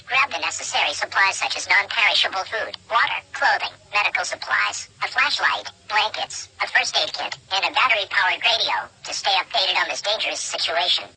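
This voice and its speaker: A woman's voice: mid-range voice, animated, a little fast, few pauses, sharp tone, a little hiss or hum, low-quality recording. A woman reading a scripted emergency broadcast announcement in a formal, impersonal manner.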